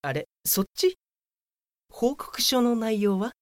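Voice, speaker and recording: A woman's voice: on the high side, animated, slow pace, a little mellow, dry recording, clean studio sound. A woman playing a whiny, self-important young male office worker in a comedic radio drama, full of grumbles and excuses. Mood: excited.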